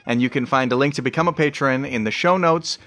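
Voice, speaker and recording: A man's voice: medium pitch, fast talker, fairly bright tone. A man hosting a podcast, talking in a conversational introductory style. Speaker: podcast host.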